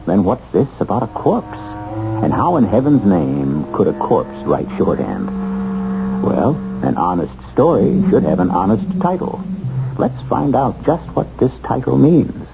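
A man hosting and narrating a 1970s radio mystery in a theatrical, erudite and deliberate manner. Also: lowish voice, big pitch swings, creaky, background noise, full character voice. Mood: teasing, intrigued. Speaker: host and narrator. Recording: dull 1970s radio broadcast recording.

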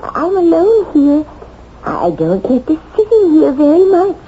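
A woman's voice: high-pitched, sing-song, unhurried, dark tone. An actress playing a forgetful, trusting, chatty older mother in a 1970s radio drama, rambling in a folksy way. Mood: chatty, confiding. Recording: dull, noisy 1970s radio recording.

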